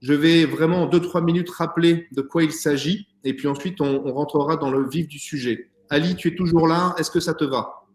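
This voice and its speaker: A man's voice: fairly high pitch, fairly even intonation, moderate pace, hardly any pauses, echoey room. A man hosting an online talk show interview by video call, hesitant and full of fillers. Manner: hesitant, many fillers and restarts. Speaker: host.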